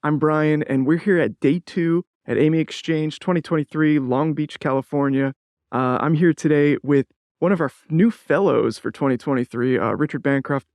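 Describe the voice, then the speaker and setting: A man's voice: a little high, moderate pace, a little mellow, no echo. A man hosting a conversational interview, casually explaining and putting questions to his guest. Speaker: interviewer and show host.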